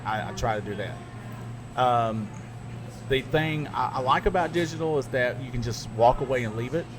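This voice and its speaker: A middle-aged man, a radio DJ, chatting casually and telling stories with animated asides. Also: mid-range voice, normal speed. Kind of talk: casual podcast chat. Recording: noisy background.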